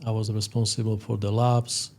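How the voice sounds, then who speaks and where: A man in his fifties with a Slovak accent, a psychologist explaining things as an interview guest in a halting, hesitant way. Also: fairly low pitch, flat delivery, darkish tone. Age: 50s. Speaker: sports psychologist, podcast guest.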